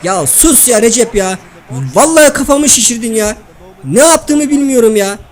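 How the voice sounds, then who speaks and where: A man's voice: extremely high pitch, expressive, moderate pace. A man voicing an irritated, complaining footballer in cartoon-style video-game banter. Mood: annoyed, complaining. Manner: complaining, whiny. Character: famous male footballer, whiny irritated gamer.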